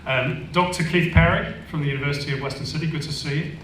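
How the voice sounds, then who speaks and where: A man from Manchester chairs a panel discussion, his teasing banter carrying through a big echoing room. He sits at a distance from the mic, and a steady murmur of noise sits under him. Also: fairly high pitch, fast talker. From Manchester, England. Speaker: panel moderator. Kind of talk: hosting a live panel discussion. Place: function room with an audience. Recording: echoey hall, distant mic.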